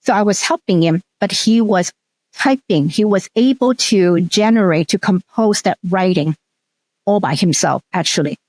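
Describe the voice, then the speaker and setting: A middle-aged woman from Hong Kong whose first language is Cantonese. She speaks at a mid pitch and recounts stories thoughtfully as an interview guest, often pausing with um and restarting. The sound is dry and close to the mic. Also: a little mellow, no room sound. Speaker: researcher and guest. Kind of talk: casual interview storytelling.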